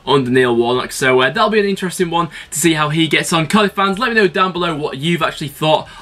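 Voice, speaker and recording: A young man with a cold and a slightly croaky voice, hosting a football channel and chatting casually to camera, with lots of fillers. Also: a little high, animated, fast, sharp tone. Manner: chatty, fan opinions with many fillers. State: has a cold, a bit croaky.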